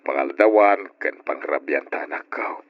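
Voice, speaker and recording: A man playing a middle-aged, hard-drinking widowed father in an old radio drama, with a drawn-out, emotional delivery. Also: extremely low pitch, dry recording. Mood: tender, apologetic. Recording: dull, lo-fi old radio recording.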